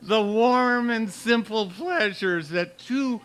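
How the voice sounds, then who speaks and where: A man's voice: high voice, slow, fairly bright tone, clear, resonant tone. A man playing an eager, florid teenage schoolboy with a grandiose way of talking in a radio comedy. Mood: grandly poetic. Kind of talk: old-time radio comedy acting.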